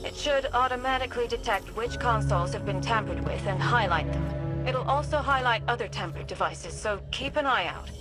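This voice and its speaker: A woman's voice: on the high side, lively intonation, normal speed, music in the background, close to an everyday voice. A woman playing a composed, alert operations handler who gives precise instructions in a video game. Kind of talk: scripted game mission guidance.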